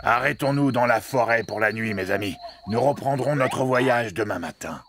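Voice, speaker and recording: Man voicing a creaky, genial old donkey. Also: mid-range voice, moderate pace, fairly bright tone, a little hiss or hum, close to an everyday voice. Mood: leading, excited. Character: genial old donkey, proud singer leading his friends. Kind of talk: children's cartoon dub acting.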